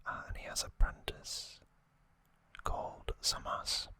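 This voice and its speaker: ASMR host, fully whispered and breathy, slow delivery in short hushed phrases, crisp and very bright. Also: no room sound. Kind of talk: ASMR whispered anime recap and trivia.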